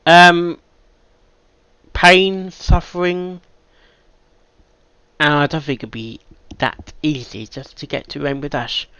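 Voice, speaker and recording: Bright-voiced man musing in a dry recording. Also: a little high, little pitch movement, smooth, no room sound, muffled recording. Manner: halting, musing, with sudden loud emphasis. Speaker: reaction video host. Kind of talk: casual reaction commentary.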